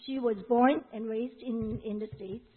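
Husky woman interpreting a sermon with a Hong Kong accent. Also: fairly high pitch, fairly even intonation. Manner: relays each phrase quickly, with fillers and restarts. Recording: tinny phone-like sound.

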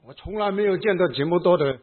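A man with a Hong Kong accent preaching a sermon in short phrases, pausing between them for an interpreter. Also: sits high. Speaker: pastor. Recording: tinny phone-like sound.

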